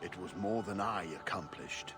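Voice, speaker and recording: An actor playing a sombre, resigned investigator with a measured, formal delivery in a video game. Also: male voice, low-pitched, animated, long reverb tail, music in the background.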